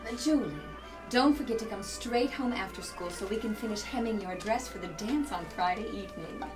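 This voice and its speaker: A woman's voice: mid-range voice, a little fast, over music, close to an everyday voice. A woman playing a fussy 1950s-style sitcom mother in a comedy sketch. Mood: motherly nagging.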